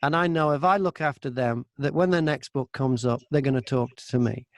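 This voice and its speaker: A man's voice: medium pitch, fairly quick, dry recording, clean studio sound. A man talking casually as an interview guest, telling stories about his work as an audiobook narrator and acting out remembered exchanges.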